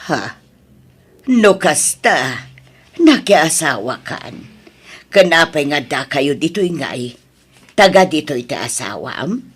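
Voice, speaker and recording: A woman's voice: deep voice, big pitch swings, takes it slowly, pauses often, slightly rough, exaggerated voice. A woman playing a nosy middle-aged housemaid in an audio drama, with an inquisitive and emphatic delivery. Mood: prying, suspicious. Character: Nana Mila, nosy older village woman working as housemaid.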